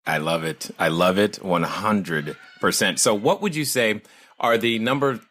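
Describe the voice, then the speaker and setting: A man's voice: lowish voice, fairly bright tone. A man hosting a podcast interview, reacting enthusiastically and asking questions. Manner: enthusiastic, quick to laugh and react. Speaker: podcast host.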